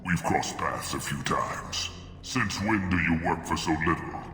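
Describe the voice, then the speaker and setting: An actor playing a stressed, hot-tempered mercenary commander in a video game, curt, profane and forceful. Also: male voice, sits low, lively intonation, measured pace, soft background music, heavily acted. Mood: tense, weary. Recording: roomy hall reverb, faint noise.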